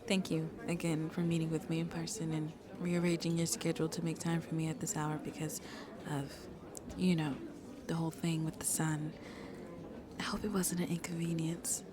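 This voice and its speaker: Woman acting as shy sweet female vampire, lowish voice, soft and hesitant, often whispery, ASMR roleplay, crowd chatter behind. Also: level tone, normal speed, long pauses, natural voice. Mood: shy, warm.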